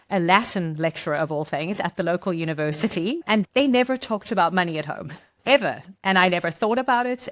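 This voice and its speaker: Middle-aged South African woman, podcast guest, fairly low voice, chatty and explanatory. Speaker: guest, marketing director. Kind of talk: podcast interview.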